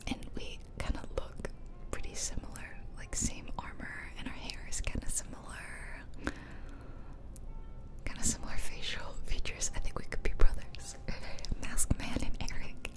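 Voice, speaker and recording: A woman's voice: unhurried, over loud music. A woman whispering chatty, playful ASMR commentary over a video game, close to the microphone. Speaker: host of an ASMR gaming channel. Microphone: close ear-to-ear ASMR microphone.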